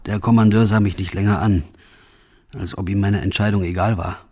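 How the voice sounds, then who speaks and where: A deep, raspy male voice as a soldier tormented by guilt. He confesses gravely in a first-person radio play monologue, as a reluctant member of a firing squad. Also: steady tone, brisk, dark tone. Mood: grim, resigned.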